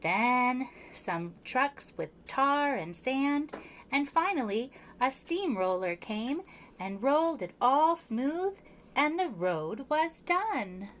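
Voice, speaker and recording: Slow, higher-voiced woman reading a storybook. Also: sing-song, fairly bright tone, a little hiss or hum, rough recording. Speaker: preschool storyteller. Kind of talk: reading a children's picture book aloud.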